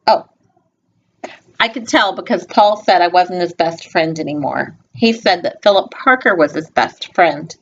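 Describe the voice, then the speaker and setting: A woman reading a picture book aloud to young children, expressive, with informal, gently instructive asides spoken directly to them. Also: mid-range voice. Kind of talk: read-aloud storytelling with chatty asides. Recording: dull lo-fi home video sound.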